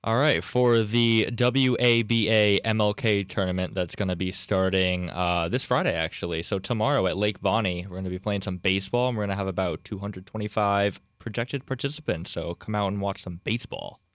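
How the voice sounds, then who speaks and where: A new male co-host on a radio sports show, from New Hampshire, speaking in a relaxed way and laughing easily. His voice is lowish and recorded dry and close in the studio. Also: a little fast, few pauses, no room sound. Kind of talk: casual radio sports chat.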